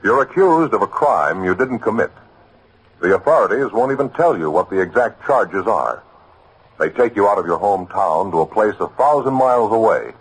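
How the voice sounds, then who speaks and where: A man's voice: mid-range voice, rough recording. An American man delivering an earnest, emphatic scripted radio announcement in 1950s style, addressing the listener directly with rhetorical questions. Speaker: announcer of a public service message. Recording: old radio broadcast, slightly husky sound.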